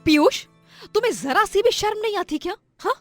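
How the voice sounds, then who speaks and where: A woman's voice: medium pitch, measured pace, soft background music, lightly acted. An actress playing an elderly, protective and long-suffering mother-in-law in a melodramatic story cartoon, with an emotional delivery. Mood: angry scolding. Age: older. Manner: emotional, pleading.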